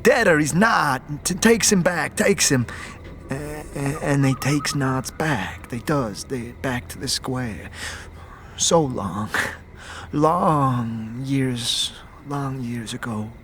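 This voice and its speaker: Stammering, broken, slow-talking vagrant. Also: male voice, mid-range voice, a bit bright, a little hiss or hum. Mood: agitated. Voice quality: stammering, halting. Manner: muddled, refers to himself in third person. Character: broken, frightened, simple-minded ragged male vagrant. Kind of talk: audio drama acting.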